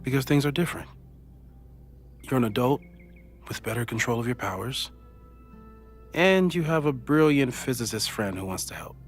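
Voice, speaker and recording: A man acting a geeky, reassuring physicist friend in a video game, with an American accent and a thoughtful, gently joking manner. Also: medium pitch, lively intonation, normal speed, long pauses, natural voice. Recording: music under the voice. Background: game music.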